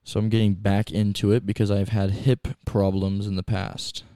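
A young man in his twenties, co-hosting a casual podcast. His voice is low and mellow, and he talks in a relaxed way with plenty of fillers. The recording is dry and close. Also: normal speed, dry recording. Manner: laid-back gym talk, many "like" fillers. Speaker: podcast co-host. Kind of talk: casual chat.

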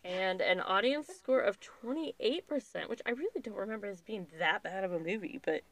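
A woman in her thirties with a gravelly, creaky edge to her voice co-hosts a podcast. She reads out notes and lists at an even, measured pace. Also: medium pitch. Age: 30s. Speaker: podcast co-host. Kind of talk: casual chat, reading notes aloud.

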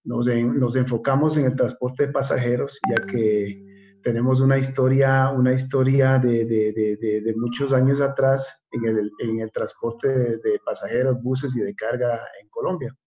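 An Ecuadorian man in his fifties speaks at a middle pitch over a slightly dull video-call line. He talks haltingly, stumbling over and repeating words, with plenty of fillers between thoughts. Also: fairly even intonation, warm tone. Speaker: guest.

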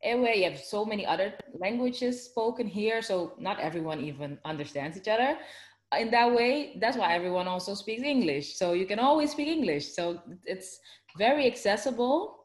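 A woman's voice: mid-range voice, moderate pace, echoey room. Chatty woman with a Dutch accent. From Netherlands. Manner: chatty and animated, many fillers and restarts. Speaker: interviewee. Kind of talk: casual interview chat.

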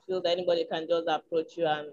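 A Nigerian woman speaks in a small, slightly low voice, candid and reflective, working through her thoughts with soft ums. The recording is a bit muffled and dull at the top end. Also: little pitch movement, fast, slight background noise. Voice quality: small, tiny voice. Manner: reflective, frank, with filler ums and soft laughs. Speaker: interview guest. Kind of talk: candid conversational chat.

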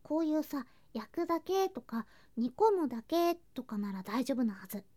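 A woman playing an affectionate, playful younger girl cousin in an intimate ASMR voice drama, speaking softly and coaxingly and slipping into whispers. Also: high-pitched, very animated, normal speed, no echo. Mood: sweet, coaxing. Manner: soft, sweet, coaxing, drifting into whispers and singsong. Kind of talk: ASMR situation voice drama, intimate roleplay to listener.

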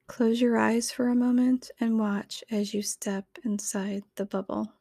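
A woman reading a scripted guided meditation in a soothing, gentle, measured manner. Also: a little high, fairly even intonation, dry recording, studio quality.

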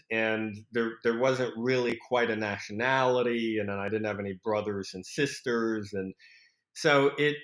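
A man born in France speaks as a podcast guest. He talks in a reflective, halting way with many fillers and restarts. His voice carries a roomy echo. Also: fairly low pitch, a bit bright. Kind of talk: conversational interview.

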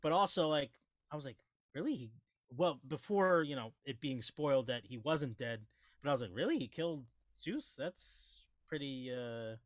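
A man's voice: mid-range voice, animated, normal speed, no room sound, clean studio sound. A man co-hosting a movie review podcast, rambling casually with lots of restarts and fillers. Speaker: podcast co-host.

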